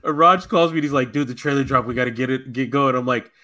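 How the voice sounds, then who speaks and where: A man with a middle-pitched voice co-hosts a casual podcast. He tells stories in an animated way, full of slang and occasional swearing. It sounds like loose fan chat among friends. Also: fast. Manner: animated storytelling, slangy, profane. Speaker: podcast co-host.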